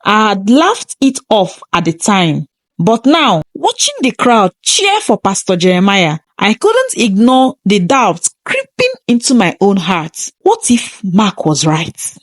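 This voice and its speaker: A woman's voice: mid-range voice, huge pitch swings, slow pace, dry recording. A woman reading a scripted first-person story in a dramatic, suspenseful manner.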